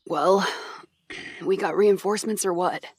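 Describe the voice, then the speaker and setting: A woman's voice: mid-range voice, fairly even intonation, a little slow, breathy edge, dry recording. A woman playing a tough, blunt veteran fighter, wounded and strained, in an anime audio drama. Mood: wry, defiant. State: coughing, weakened and faltering.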